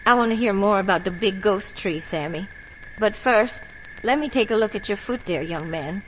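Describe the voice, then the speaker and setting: A woman's voice: medium pitch, normal speed, a little hiss or hum, natural voice, poor audio. An actress playing a kindly, knowing folk healer woman, motherly and commanding, in an audio horror drama. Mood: concerned.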